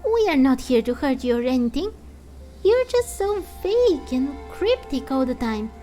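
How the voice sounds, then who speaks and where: Woman doing an excitable high-pitched fairy companion. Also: normal speed, faint music behind. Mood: flustered. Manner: exclaiming, stammering questions. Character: small excitable fairy companion, female, naive and indignant.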